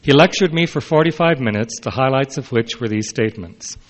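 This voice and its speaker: A man's voice: medium pitch, animated. Man reading a prepared speech. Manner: measured, announces quote and unquote, brief unscripted asides. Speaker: historian giving a talk.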